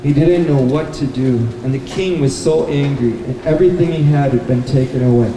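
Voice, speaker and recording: A man telling a children's story live to an audience, in simple, deliberate phrases. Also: medium pitch, crowd noise. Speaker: puppet show storyteller and presenter. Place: indoor bookstore event. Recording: very echoey, noisy hall, distant microphone.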